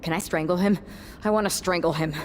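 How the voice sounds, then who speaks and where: A woman's voice: lowish voice, fairly even intonation, fast, slight background noise, close to an everyday voice. A woman playing a brash, combative wrestler in a video game cutscene, talking in a punchy, confrontational way. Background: music.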